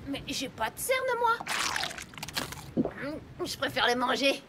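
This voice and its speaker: A woman's voice: high-pitched, sing-song, takes it slowly, heavy background noise, full character voice. A woman voicing a blunt, down-to-earth farm mare pony in a French cartoon dub, with a plain-spoken, dry manner. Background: cartoon music score.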